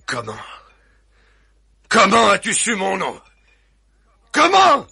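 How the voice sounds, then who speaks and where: An actor playing a possessive, hot-tempered villain in a radio comedy serial, shifting from low menace to shouting. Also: male voice, sing-song, moderate pace, dry recording, clean studio sound, full character voice. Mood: agitated. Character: volatile, possessive middle-aged male villain.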